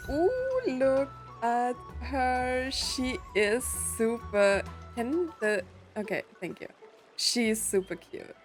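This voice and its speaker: Girl streamer doing chatty gameplay commentary, slow paced, kinda high voice, soft game music behind. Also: animated. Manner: chatty, thinking aloud. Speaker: gaming streamer. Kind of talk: casual game playthrough commentary.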